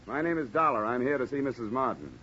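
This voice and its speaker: An actor playing a sardonic, hard-boiled insurance investigator in an old-time radio noir drama. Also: male voice, medium pitch, lively intonation, fast, sharp tone, slight background noise. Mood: dry, pointed. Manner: sardonic, dry. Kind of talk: 1940s-50s radio acting, noir narration. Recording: muffled old radio recording.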